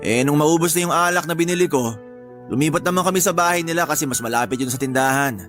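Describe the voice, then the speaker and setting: A man's voice: a little high, quick pace, fairly bright tone, soft background music, natural voice. A man playing a belligerent, boastful stepfather in a radio drama, with a Filipino accent and a confrontational, domineering way of talking. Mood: dismissive. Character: belligerent, boastful live-in stepfather, quick to bully.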